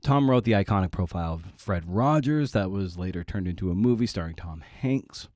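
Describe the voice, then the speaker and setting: A man with a fairly low voice, recorded close and dry, introduces his podcast in a casual monologue. He is wry and self-deprecating, dropping in playful asides and repeating phrases for emphasis. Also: expressive, a little fast, darkish tone, dry recording. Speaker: podcast host.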